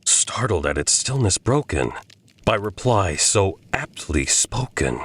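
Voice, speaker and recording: A man narrating as a haunted, grieving man, in a brooding, theatrical poetry reading with drawn-out phrasing. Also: sits low, very animated, a bit bright. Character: haunted grieving man telling his gothic tale. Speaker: audiobook-style narrator.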